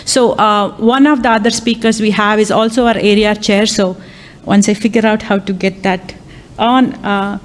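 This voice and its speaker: A woman whose first language is Tamil, an AI lecturer and workshop chair, speaking live at a conference with many ums, uhs and restarts. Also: medium pitch. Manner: hesitant, many fillers and restarts. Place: conference hall.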